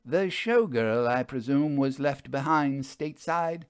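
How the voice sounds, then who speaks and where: A man voicing a brisk, skeptical woman investigator in a storybook, with blunt, formal and dryly knowing speech. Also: mid-range voice, smooth, dry recording, clean studio sound, voice pushed up, close to an everyday voice. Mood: wry. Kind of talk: dramatized audiobook storytelling.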